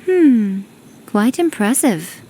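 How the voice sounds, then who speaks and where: A woman's voice: a little high, big pitch swings, slow, dark tone, slight background noise. A woman voicing a poised, playful priestess in a video game, refined and teasing. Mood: amused, curious.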